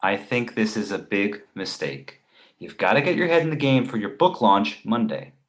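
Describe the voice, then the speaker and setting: A man's voice: lowish voice, animated, moderate pace, close to an everyday voice. A man playing a cautious, exasperated book editor friend in a comedy table read. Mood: disapproving.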